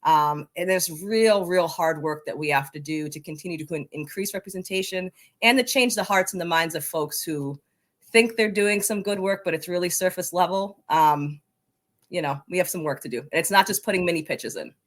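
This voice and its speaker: A woman's voice: on the low side, moderate pace. A woman answering interview questions as a soccer coaching advocate, in an emphatic, passionate conversational way.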